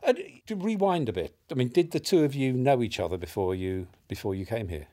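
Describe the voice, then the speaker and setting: A man's voice: medium pitch, animated, a little fast, mellow. A man hosting an interview, asking probing questions with frequent restarts. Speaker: interviewer, institute director. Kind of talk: conversational interview.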